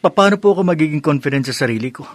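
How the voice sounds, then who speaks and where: A nervous, deferential young Filipino son in a video drama, played by an actor, speaks with hesitation and reluctance. The recording is dry, with no room sound. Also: male voice, fairly high pitch, expressive, rapid-fire, no room sound. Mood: worried. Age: young adult. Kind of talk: scripted Tagalog video drama acting.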